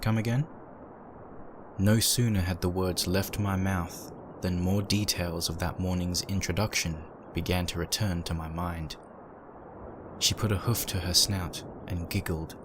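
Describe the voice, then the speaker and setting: A man acting as the brooding, uneasy narrator of a dark horror audio drama, reading in a dramatic scripted style. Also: low-pitched, fairly even intonation, measured pace. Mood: uneasy, hesitant. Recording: noisy, with background ambience effects.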